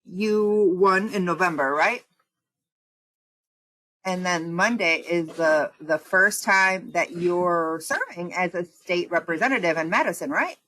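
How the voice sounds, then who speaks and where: A woman interviewing a local official in a friendly way, often checking facts with a quick "right?". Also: fairly low pitch, fairly bright tone, low-quality recording. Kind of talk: community radio interview.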